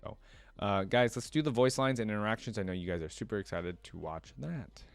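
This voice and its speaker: A man's voice: on the low side, brisk, gravelly, no room sound, faint music behind. A man hosting a reaction video, reading aloud and commenting in an informal, fan-like way.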